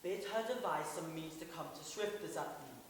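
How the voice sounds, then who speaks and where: A man playing an earnest, eager young gentleman lover in Shakespearean stage style, declaiming his instructions. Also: fairly high pitch, a little fast, close to an everyday voice. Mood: eager, insistent. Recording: very echoey hall, distant mic, faint noise.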